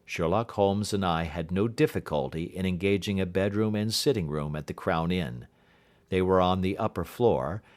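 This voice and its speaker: A man reading scripted literary narration as an audiobook narrator. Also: low-pitched.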